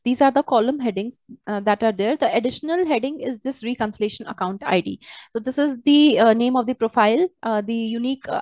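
High-pitched woman giving software tutorial. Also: no echo. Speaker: software trainer. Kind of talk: screen-share software tutorial, unscripted walkthrough.

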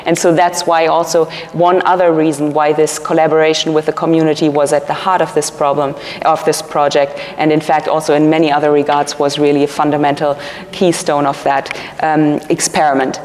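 A woman's voice: sits low, little pitch movement, a little fast, darkish tone. Woman giving a keynote in a reverberant hall. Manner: earnest, explanatory, with fillers and restarts. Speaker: keynote speaker, researcher. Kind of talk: conference keynote lecture. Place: large conference hall, at a podium. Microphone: podium mic, filmed from a far camera.

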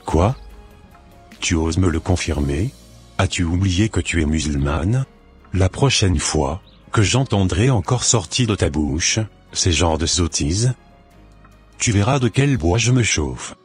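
A man's voice: low voice, animated, measured pace, breathy edge, soft background music, full character voice. A man voicing a stern, authoritarian, scolding father in a scripted cartoon. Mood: angry, stern.

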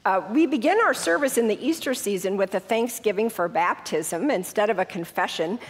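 A woman's voice: medium pitch, animated. Congested woman pastor in a church. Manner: warm, conversational announcements then measured liturgical prayer. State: congested with a head cold. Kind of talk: church welcome and liturgical prayer. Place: large church sanctuary. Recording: long reverb of a church hall.

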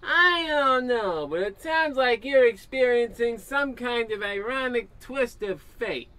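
A man's voice: very high-pitched, animated, measured pace, sharp tone, heavily acted. A man acting a self-important, dim-witted policeman with an officious manner in an old-time radio comedy. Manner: officious, redundant.

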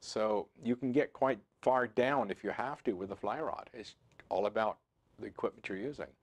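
A man in his sixties hosting a fly fishing channel, talking to camera in a relaxed, conversational way with frequent fillers and restarts, seated in a home office. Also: lowish voice, normal speed. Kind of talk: to-camera instructional talk. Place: home office with computer screens, indoors.

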